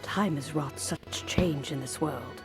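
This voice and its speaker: Gracious, lower-voiced elder. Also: female voice, creaky, music in the background, heavily acted. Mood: wistful. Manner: gracious, measured, kindly. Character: gentle, kindly old immortal woman.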